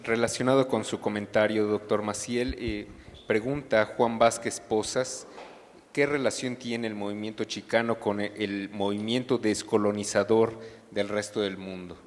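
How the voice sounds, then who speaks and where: A man moderating a lecture, reading audience questions out in a measured, formal way. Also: on the low side, steady tone, a little hiss or hum. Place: lecture hall. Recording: lecture hall with long reverb.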